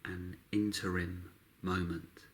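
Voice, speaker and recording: A man guiding a meditation, speaking calmly in short, unhurried phrases with long pauses between them. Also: low voice, soft background music. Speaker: meditation guide. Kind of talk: guided meditation narration.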